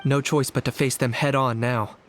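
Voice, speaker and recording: A man voicing a cautious, level-headed young warrior in a video game, speaking in a calm, measured and lightly stern way. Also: medium pitch, noisy background, natural voice. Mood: focused. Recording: loud game music underneath. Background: loud background music.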